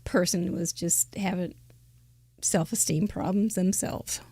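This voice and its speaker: A woman's voice: lowish voice, steady tone, slow, warm tone, breathy edge, dry recording, clean studio sound. A woman hosting a live crafting show, chatting playfully and laughing often. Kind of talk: casual live-stream chat.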